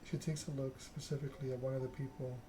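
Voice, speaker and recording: Soft ASMR man, evenly narrating over noise. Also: mid-range voice, level tone, quick pace. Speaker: video game let's-player reading the game aloud. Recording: clear background noise.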